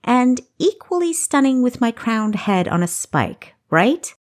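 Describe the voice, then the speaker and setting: A woman playing a sharp-tongued, sarcastic young female adventurer in a fantasy story, full of scorn. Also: a little high, sing-song, unhurried, pitched above the performer's own voice. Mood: mocking.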